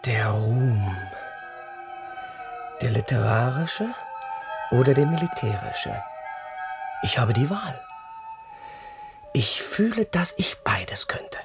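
An actor playing a young, dreamy and rebellious crown prince in a 1970s radio play, speaking with passion and musing at times in a whisper. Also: male voice, medium pitch, lively intonation, slow, long pauses, music in the background. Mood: impassioned. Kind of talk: 1970s radio drama acting.

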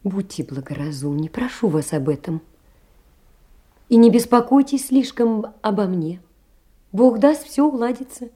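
A woman's voice: mid-range voice, expressive, measured pace, mellow. An actress playing a poor young woman, anxious and tenderly caring, pleading earnestly and often sinking toward a whisper in an audio drama. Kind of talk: theatrical reading of letters in a stage radio play.

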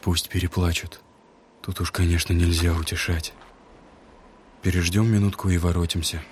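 An actor playing a gentle, earnest young man who speaks to schoolboys in a solemn, heartfelt way in an audio drama. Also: low-pitched, little pitch movement, slight background noise, lightly acted. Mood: subdued, consoling. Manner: solemn, heartfelt speechmaking with deliberate pauses. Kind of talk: Russian literary audio drama acting.

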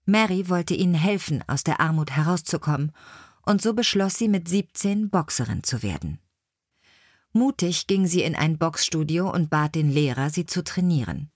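A woman's voice: deep voice, animated, moderate pace, a little mellow, dry recording, studio quality. A woman reading scripted biographical stories as an audiobook narrator.

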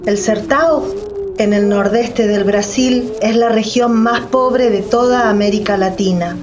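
Woman narrator, slow documentary read for radio drama, noisy ambience behind. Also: a little high. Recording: noisy, with audible background ambience.

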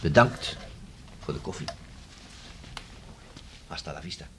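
Very deep, gravelly male inspector. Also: expressive, a little slow, a bit bright, exaggerated voice. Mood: formal, insistent. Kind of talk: radio drama acting. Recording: faint background noise on old radio recording.

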